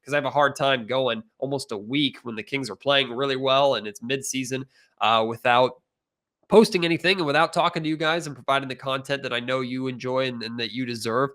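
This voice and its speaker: A young adult man with an American accent speaks as a podcast host. His delivery is conversational, talking sports directly to his guest and listeners, with a medium-pitched voice. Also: fairly even intonation, fairly quick, a bit bright.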